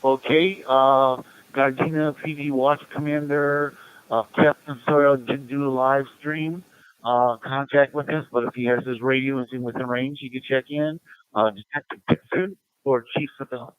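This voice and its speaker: Male radio net operator, clear-toned and dryly recorded, reading notices and roll call informally with procedural stops and starts. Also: medium pitch, fairly even intonation, moderate pace, dry recording, poor audio.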